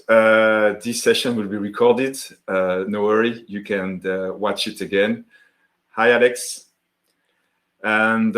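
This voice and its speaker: A man from France with a French accent, hosting a live webinar as founder of a software tool, greeting viewers in the chat and demoing his workflow. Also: on the low side. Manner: friendly, greets chat viewers by name, many fillers.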